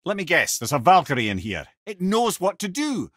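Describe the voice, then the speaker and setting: A garrulous old Scottish sage from a video game. His voice is husky and swings widely in pitch, close and dry. He talks with wry, eloquent wit, like a born storyteller. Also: huge pitch swings, normal speed, a bit bright, no room sound, exaggerated voice. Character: witty, garrulous, wise severed-head companion, older man.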